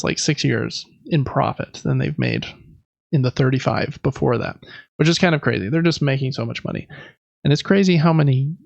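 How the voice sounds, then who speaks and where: A man's voice: on the high side, gravelly, dry recording, muffled recording. A man co-hosting a podcast, talking through news casually in an informal, rambling, self-correcting way. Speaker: podcast co-host. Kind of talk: casual gaming news chat.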